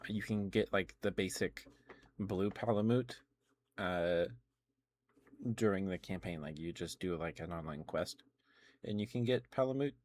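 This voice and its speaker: A man livestreaming casual ASMR chat about games, talking in a rambling, halting way. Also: sits low, darkish tone, a little hiss or hum.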